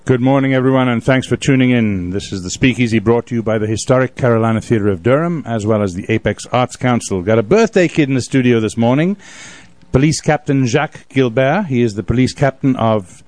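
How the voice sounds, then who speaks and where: A man's voice: mid-range voice, fairly quick, a little mellow, no echo. A man hosting a live radio show in a casual, folksy and joking way from a studio.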